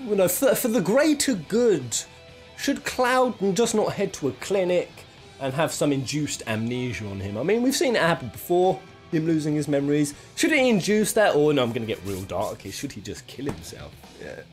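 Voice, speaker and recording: Animated British man, chatty countdown host. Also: a little high, moderate pace, soft background music. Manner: jokey, rambling, thinking aloud. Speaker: video game countdown host. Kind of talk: casual, chatty top-ten commentary.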